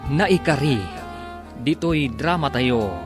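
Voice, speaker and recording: A man's voice: fairly high pitch, animated, takes it slowly. A man narrating and announcing a radio drama serial in a scripted, dramatic style, projecting and stretching the titles for emphasis. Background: music.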